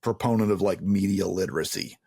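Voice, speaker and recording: Husky, slightly low man, dry sound. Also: brisk, dark tone, no room sound. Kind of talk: casual conversational interview.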